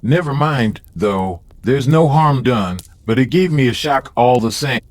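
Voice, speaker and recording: Excitable, sheepish old father acted by a man. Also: mid-range voice, unhurried, dry recording, close to an everyday voice. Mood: shaken. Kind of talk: dramatised audio story acting.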